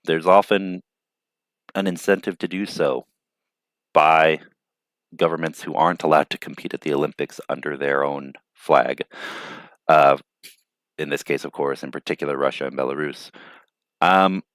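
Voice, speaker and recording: A man's voice: rumbling low, steady tone, normal speed, long pauses, fairly bright tone, no echo. A man hosting a news commentary show, reading reports and adding thoughtful, hesitant asides. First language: not Ukrainian.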